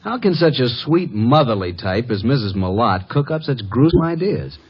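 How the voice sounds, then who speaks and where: A man's voice: mid-range voice, very animated, normal speed, breathy edge. An actor playing a cheeky, breezy male detective's assistant in an old radio mystery, talking in a slangy way. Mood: curious. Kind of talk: 1950s radio mystery acting. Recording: muffled old radio broadcast.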